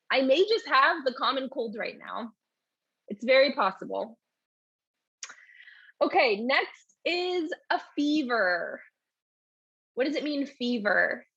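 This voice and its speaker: A woman's voice: a little high, fairly bright tone, husky, dry recording, dull audio. A woman teaching a live online English lesson in a chatty way, reading out and answering questions from the chat.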